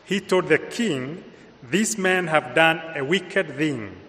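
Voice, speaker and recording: Slow-speaking Kenyan priest in a church. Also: male voice, a little high, lively intonation, bright, forceful tone. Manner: slow, measured delivery with pauses. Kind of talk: homily. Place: large church with long reverb. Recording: reverberant church hall sound.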